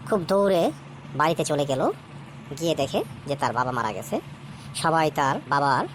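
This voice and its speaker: A woman's voice: sits low, background noise. A woman voicing a jovial village uncle in a cartoon, telling a ghost story in a colloquial, suspenseful way.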